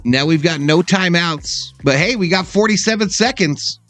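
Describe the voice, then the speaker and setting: A man with a fairly high voice reacts to a football game as a live-stream host. He talks like a fan, casual and salty, with plenty of swearing and sarcasm. Music plays behind him. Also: moderate pace, fairly bright tone.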